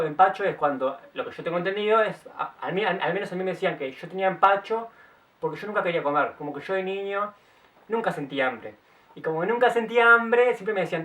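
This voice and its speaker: A young man with a Uruguayan accent chats to his viewers in a slightly high voice, tripping over and restarting his words as he goes. The sound is a little distant, caught by a camera mic set back from him outdoors. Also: a bit bright. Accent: Uruguayan Spanish. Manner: chatty, stop-start, self-correcting. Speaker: vlogger. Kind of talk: casual storytelling to camera. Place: outdoors in the late afternoon.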